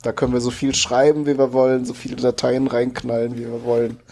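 A man's voice: medium pitch, completely flat delivery, fast, darkish tone. A man co-hosting a casual group podcast, hesitantly searching for his words. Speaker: podcast co-host.

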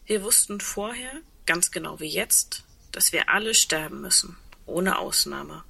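An actress playing a wry, resigned, reflective woman in a radio drama, with a husky voice and dry humor. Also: on the low side, lively intonation, a little slow, pushed and bright, full character voice. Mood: calm, resigned. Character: tall, wry, resigned woman insolvency administrator facing doomsday. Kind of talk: radio drama dialogue acting.

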